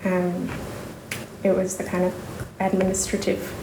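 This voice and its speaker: Woman from Indonesia, researcher guest, gravelly edge, halting and thoughtful, noisy echoey room. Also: fairly low pitch, monotone. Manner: thoughtful, many fillers and restarts. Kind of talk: casual interview talk.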